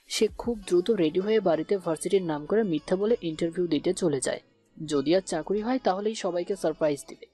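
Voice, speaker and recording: A woman's voice: lowish voice, mellow. A woman narrating a scripted romantic audio story. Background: faint background music.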